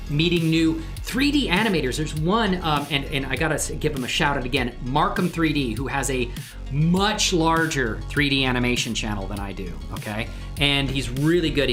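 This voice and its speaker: A man hosting a casual livestream chat, talking in an effusive, grateful manner with his viewers. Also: medium pitch. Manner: effusive, excitedly whooping greetings to viewers, grateful. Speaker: live stream host and 3D animation creator.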